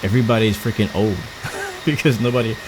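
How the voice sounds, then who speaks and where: Very deep-voiced streamer woman from Houston. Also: fairly quick, background noise. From Houston, Texas. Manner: rambling and chatty, frequent restarts and stumbles. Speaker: flight sim livestreamer. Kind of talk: casual live-stream chat while flying.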